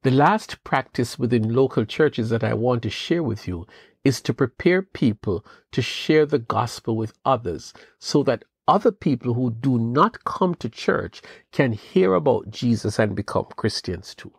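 A man hosting a devotional podcast, giving an earnest, measured Bible teaching with rising questions. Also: medium pitch, expressive, vocal fry, slightly rough, no room sound, studio quality. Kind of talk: scripted devotional talk.

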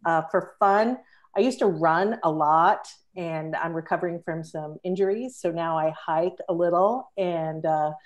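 A woman's voice: lowish voice, a little slow. A middle-aged woman on a casual video call from her living room, talking in a friendly, upbeat way. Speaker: guest, UX consultant. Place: living room with patterned chairs.

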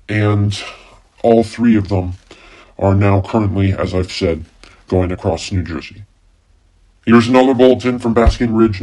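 Man acting as a low-voiced radio newsman, formal. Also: a little mellow, natural voice. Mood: halting. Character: urgent male radio newsman reporting a Martian invasion. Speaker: radio news announcer. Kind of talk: 1930s radio news bulletin.

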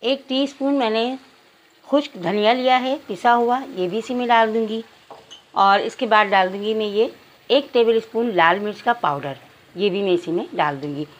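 A woman's voice: a little high, moderate pace. A woman narrating cooking instructions step by step, addressing viewers directly as a home cook. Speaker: home cook presenting a recipe.

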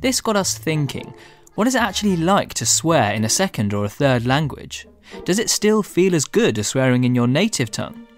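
A man's voice: fairly high pitch, very animated, moderate pace, faint music behind. A man presenting a radio science program, conversational and easygoing. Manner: conversational, laughs easily.

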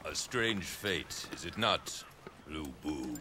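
A man playing a brooding, solemn warlord in a video game cutscene, speaking gravely. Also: a bass voice, expressive, heavily acted. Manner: slow, grave. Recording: clearly noisy battlefield ambience.

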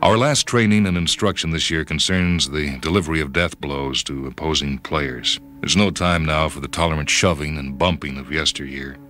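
Male narrator, extremely low and forceful, measured dramatic first-person fiction reading. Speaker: story reader. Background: background music.

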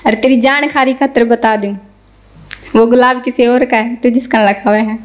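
Young female poet, highish voice, recites verse at an open mic and repeats each couplet. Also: steady tone, normal speed, a little hiss or hum. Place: small indoor stage. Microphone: stand mic.

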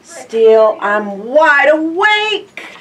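Older woman reading slowly to children. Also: high-pitched, far from the mic. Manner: expressive, drawn-out phrases with hushed asides and questions to listeners. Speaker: library storytime reader. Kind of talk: animated picture-book read-aloud to young children. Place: indoor library in front of bookshelves.

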